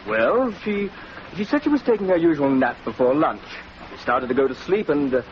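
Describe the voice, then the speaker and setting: An actor playing an anxious, deferential gentleman, speaking hesitantly in a 1940s radio drama. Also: a little high, sing-song, normal speed. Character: anxious, polite gentleman, worried son and former war correspondent. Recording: muffled, noisy 1940s radio recording.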